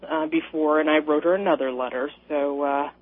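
A woman's voice: low-pitched, fairly even intonation, brisk. A woman from Minnesota calling in to a radio show, rambling and laughing with a sarcastic edge. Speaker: caller. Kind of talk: call-in radio chat. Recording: dry, muffled phone-in audio.

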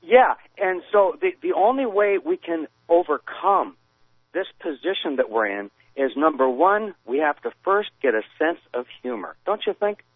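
Male radio caller, rambling and argumentative, animated higher voice, heard through a phone line. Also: moderate pace, dry recording. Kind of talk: phone-in conversation.

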